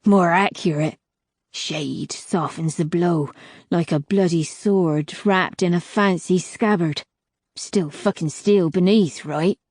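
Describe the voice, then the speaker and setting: A woman voicing a wrinkled, foul-mouthed old desert crone with a deep, gravelly voice. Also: slow pace, a little mellow, no echo, studio quality. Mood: musing. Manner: swears freely, blunt.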